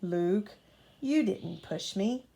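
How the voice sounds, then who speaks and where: A woman voicing a calm, honest male steam engine in a children's storybook, measured and matter-of-fact. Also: medium pitch, vocal fry, heavily acted. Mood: reassuring.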